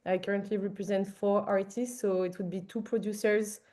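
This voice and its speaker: A woman's voice: medium pitch, little pitch movement, brisk, warm tone. A woman from France with a French accent introducing herself as an artist manager on a panel, friendly and full of fillers. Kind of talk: conference panel talk.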